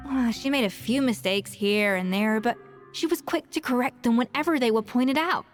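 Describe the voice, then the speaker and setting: A woman as proud, high-voiced young master chef. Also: soft background music, close to an everyday voice. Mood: troubled, reflective. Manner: articulate, measured, formal phrasing. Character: proud, self-assured young female master chef, composed, earnest. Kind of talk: anime game voice acting.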